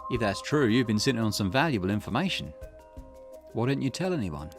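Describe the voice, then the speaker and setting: A man's voice: fairly low pitch, sing-song, brisk, music in the background. A man voicing a skeptical, plain-spoken private detective with a dry, questioning manner in a visual novel. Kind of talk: character voice reading visual novel dialogue.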